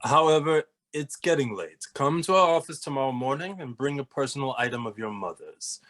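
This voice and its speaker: A man playing a serious, disapproving young detective, the straight-man brother in an animated comedy table read, speaking formally. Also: medium pitch, a little fast, lightly acted. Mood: businesslike. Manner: formal, stern.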